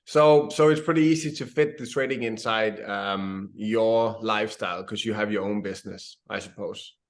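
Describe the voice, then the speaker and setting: A man's voice: fairly low pitch, moderate pace. A man interviewing a guest in a friendly, casual way, calling him "man".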